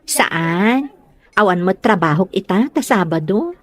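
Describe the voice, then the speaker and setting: A woman playing a chatty, expressive village woman in a comedy radio drama. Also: mid-range voice, unhurried. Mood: friendly.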